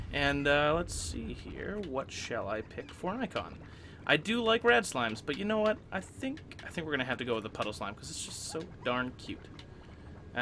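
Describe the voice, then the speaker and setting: Young male host with a cold, bright and chatty, narrating gameplay as he plays. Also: a little high, a little hiss or hum. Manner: chatty, upbeat, frequent throat clearing. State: getting over a cold, coughing. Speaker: let's play host. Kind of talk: casual gameplay commentary.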